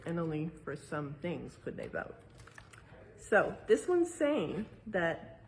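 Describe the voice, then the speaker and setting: A woman's voice: mid-range voice, darkish tone, a little hiss or hum, rough recording. A woman with an American accent reading a picture book aloud and explaining it simply for young listeners as a museum educator. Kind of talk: read-aloud with explanatory asides.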